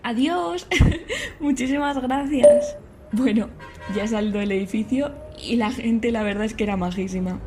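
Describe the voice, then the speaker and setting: An actress playing an eager, chatty young history student from Spain, recording an informal travel vlog in a podcast drama. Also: female voice, mid-range voice, steady tone, a little fast, a little mellow, soft background music, natural voice. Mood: delighted. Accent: Spanish from Spain. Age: young adult. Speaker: podcast character, student vlogger. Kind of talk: acted first-person vlog monologue. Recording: clear background noise, ambient sound.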